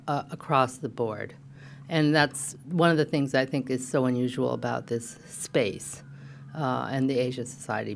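A woman in her fifties hosts an event, giving an informal, halting, wry welcome speech from a stage podium. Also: a bass voice, slight background noise. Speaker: event host giving opening remarks. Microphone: podium microphone.

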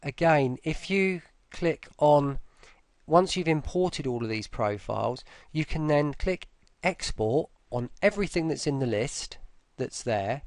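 A man presenting a software tutorial in a casual, informal way, thinking aloud as they go. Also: a little high, expressive, a little slow, dry recording. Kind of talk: casual screen-recorded walkthrough.

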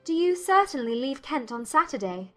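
A young gentlewoman of Regency England in an audiobook drama. Her voice sits fairly high, and she is playful, arch and spirited in formal phrasing. Music plays behind her. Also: sing-song, moderate pace. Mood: polite.

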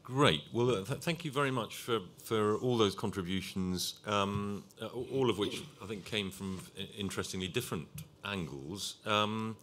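Deep-voiced man chairing a panel. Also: normal speed, few pauses, fairly bright tone, a little hiss or hum. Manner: hesitant, many restarts, thoughtful. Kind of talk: moderating a panel discussion.

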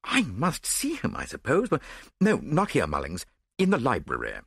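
A man's voice: mid-range voice, wildly swooping pitch, normal speed, vocal fry, a bit bright, breathy edge, dry recording, studio quality, pitched above the performer's own voice, full character voice. A man voicing a flustered, distracted nobleman in an audiobook, agitated and hesitant yet commanding.

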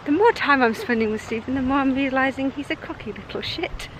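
High-pitched woman vlogging outdoors. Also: a little fast, fairly bright tone. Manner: giggly, chatty, talking while walking. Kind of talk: casual outdoor vlog chat. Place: outdoors on countryside footpaths. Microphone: handheld camera at arm's length. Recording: noisy outdoor handheld camera audio.

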